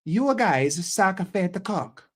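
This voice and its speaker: A swaggering mock-Italian football pundit, played by a man, higher than the man's own voice and slow in delivery. He leans into broken phrasing and crude jabs. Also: very animated, exaggerated voice. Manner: slow, swaggering, vulgar insults.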